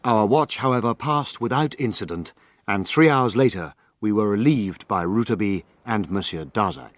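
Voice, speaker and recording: Male mystery narrator played by an actor, measured and literary, slightly low, dry studio sound. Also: no room sound, natural voice. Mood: grave storytelling. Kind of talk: scripted first-person drama narration.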